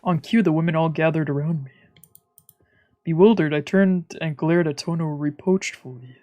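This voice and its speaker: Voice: medium pitch, normal speed, dark tone, muffled recording. A streamer reading visual novel narration aloud, with casual asides, while music plays behind. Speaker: streamer, let's player.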